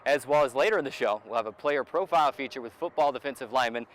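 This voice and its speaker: A man's voice: medium pitch, fast, rough recording. An American man hosting a sports show and interviewing a guest, speaking outdoors beside a football field.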